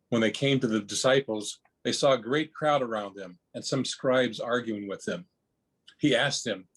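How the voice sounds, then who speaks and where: Husky-voiced man reading scripture. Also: mid-range voice, rough recording. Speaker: scripture reader. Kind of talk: Bible reading. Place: at home, on a video call. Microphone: video call.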